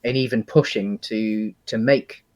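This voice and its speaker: A man with a British accent and a fairly low voice talks casually as a podcast co-host. His delivery is halting, often pausing partway through a thought before carrying on. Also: fairly even intonation, darkish tone. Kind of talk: casual film and games discussion.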